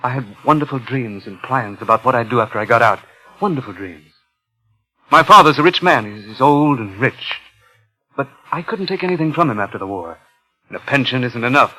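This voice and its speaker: An actor playing a nervous, obsessive impostor in 1950s radio suspense, with a halting, intense delivery. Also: male voice, mid-range voice, expressive, bright, forceful tone, rough recording. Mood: pleading, anxious. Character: nervous, obsessive male impostor and would-be thief. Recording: vintage 1950s radio recording, music behind.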